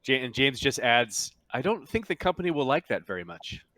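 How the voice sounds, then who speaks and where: A man acting as game master in a tabletop roleplaying game, speaking haltingly in character. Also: mid-range voice, very animated, pushed and bright, no echo.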